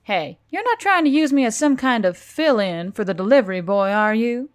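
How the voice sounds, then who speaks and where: A woman's voice: a little high, lively intonation, normal speed, clear, resonant tone, close to an everyday voice. A woman voicing a sarcastic, hot-tempered female scavenger in an audiobook, sour and exasperated. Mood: mock threatening.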